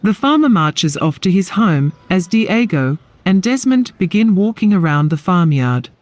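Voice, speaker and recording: A woman's voice: fairly low pitch, very animated, unhurried, a little mellow, no echo. A woman narrating a scripted fantasy audio drama in a descriptive storytelling style. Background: music.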